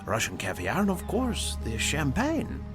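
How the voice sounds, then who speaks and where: An actor playing a polite luxury yacht captain in a radio drama, formal, servile and precise. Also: male voice, on the high side, wildly swooping pitch, unhurried, music in the background. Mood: deferential, unruffled.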